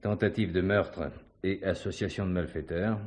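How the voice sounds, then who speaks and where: A man playing a shrewd, assured police commissioner in a theatrical mystery, measured and ironically courteous. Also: deep voice, steady tone. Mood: ironic, confronting. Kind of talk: French stage mystery acting.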